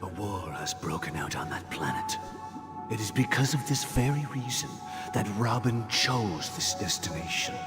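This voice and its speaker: An actor playing an authoritative, calculating mentor in a game, speaking formally and deliberately with stern calm. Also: male voice, lowish voice, over loud music, natural voice. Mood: grave, probing. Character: authoritative male dream master, calm, grave, calculating mentor. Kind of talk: scripted game dialogue.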